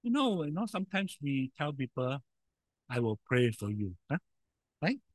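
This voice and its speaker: A man preaching a sermon in a loud voice, emphatic, with sudden swings in volume and frequent rhetorical questions. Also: medium pitch, very animated, normal speed, no room sound.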